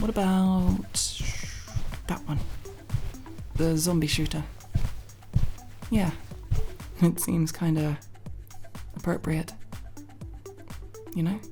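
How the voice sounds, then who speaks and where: A woman acts out a tomboy friend in an apocalypse ASMR roleplay, teasing and foul-mouthed. She speaks low and slowly, bickering playfully with mock-archaic flourishes. Music plays clearly behind her. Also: darkish tone.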